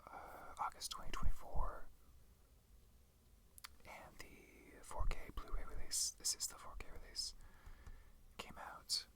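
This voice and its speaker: An ASMR host from Canada whispering a casual, rambling review in a hesitant, musing, unhurried manner.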